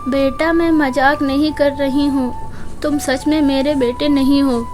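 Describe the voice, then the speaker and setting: A woman voicing a gentle, remorseful servant mother in a children's story, earnest and apologetic. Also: high-pitched, steady tone, a little slow, music in the background. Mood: confessing, earnest. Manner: earnest, apologetic, plain-spoken.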